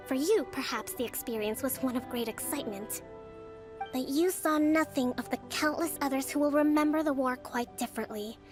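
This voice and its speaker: A woman voicing a calm young female leader of an island army, speaking in a composed, measured, authoritative way. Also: high-pitched, lightly acted. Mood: firm. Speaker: game character. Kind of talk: video game voice acting. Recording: game audio with faint music.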